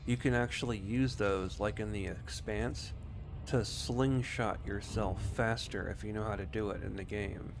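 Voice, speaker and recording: A man's voice: on the low side, steady tone, measured pace, creaky, over music. A man giving casual, rambling live commentary as he streams a game, explaining things loosely. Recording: noisy home streaming setup.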